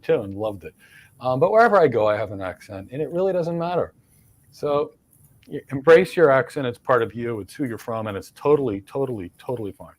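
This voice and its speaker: A middle-aged man with a Philadelphia accent, hosting a live career Q&A and stressing points by repeating words. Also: medium pitch. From United States. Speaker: host, career mentor. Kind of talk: live Q&A, answering career questions.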